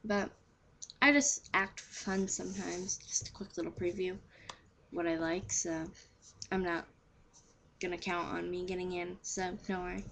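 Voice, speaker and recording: A woman's voice: on the low side, fairly even intonation, unhurried, gravelly, pauses often, a bit bright, slight background noise. A teenage girl chatting casually to camera in an offhand, self-deprecating way. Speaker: amateur voice actor auditioning. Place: small bedroom, indoors. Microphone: webcam at arm's length.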